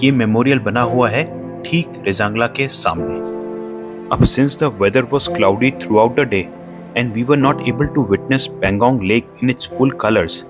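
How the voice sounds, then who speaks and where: An Indian man narrates a road-trip travel film in a calm, scripted voice-over, moving between Hindi and English. His voice sits at a middling pitch and keeps a steady storytelling flow. Music plays clearly behind him. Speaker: travel vlogger.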